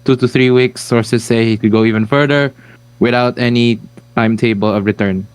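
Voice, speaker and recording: A young man, co-hosting a sports podcast with deadpan jokes. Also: medium pitch, normal speed, clear, resonant tone, no room sound. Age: 20s. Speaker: podcast co-host. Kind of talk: casual sports podcast chat.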